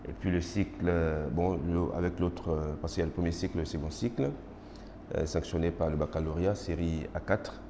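A middle-aged man from Côte d'Ivoire with an Ivorian French accent, whose first language is Baoulé, answering formal interview questions in a measured way with many hesitations. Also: low-pitched, fairly even intonation. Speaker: interviewee, magistrate. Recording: noisy roomy recording with long reverb.

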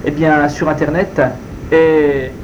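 Slow, hesitant man, native Spanish speaker. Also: on the high side, lo-fi sound. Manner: slow, deliberate, hesitant with frequent fillers. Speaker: interviewee. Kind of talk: answering interview questions. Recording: steady background noise.

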